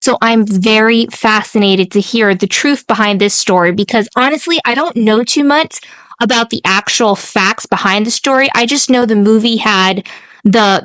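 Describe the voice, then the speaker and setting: Middle-aged woman podcast co-host, medium pitch, gushing emphatic casual talk, very dry recording. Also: a bit bright, no room sound. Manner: effusive, emphatic with repeated phrases. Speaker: podcast co-host reacting to the story.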